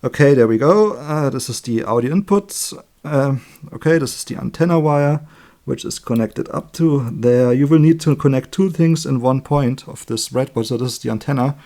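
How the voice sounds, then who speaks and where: A man with a German accent, a native German speaker, giving an informal hands-on electronics tutorial in a chatty, halting way, correcting himself as he works. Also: mid-range voice, dark tone. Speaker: electronics hobbyist demonstrating a circuit build. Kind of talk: informal hands-on tutorial, explaining while working.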